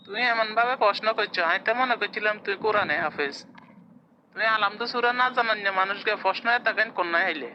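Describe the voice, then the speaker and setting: A man's voice: high voice, fast, muffled recording, a little hiss or hum, natural voice. A man with a Noakhali Bengali accent voicing a blunt, grumbling, protective parent in a comic cartoon. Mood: scolding.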